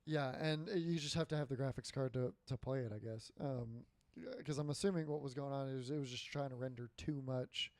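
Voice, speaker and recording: A man co-hosting a casual gaming podcast, rambling with lots of fillers and swearing, his voice getting hoarse and dry. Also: mid-range voice, brisk, a little mellow, no room sound. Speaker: podcast co-host.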